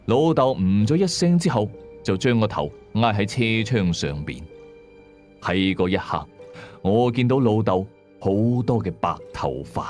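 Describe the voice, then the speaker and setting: Man, Hong Kong Cantonese accent, low pitch, horror storyteller, first-person narration, music bed. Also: sing-song, unhurried, darkish tone.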